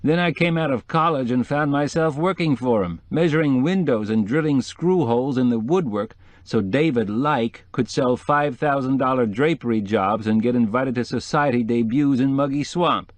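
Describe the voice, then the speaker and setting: A man's voice: mid-range voice, normal speed, hardly any pauses, no echo, pitched above the performer's own voice, natural voice. A man voicing a witty, flamboyant decorator in an audiobook, sprinkling French phrases into hip slang. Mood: rankled, candid. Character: flamboyant, witty male interior decorator, sly and gushing. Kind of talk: acted audiobook dialogue.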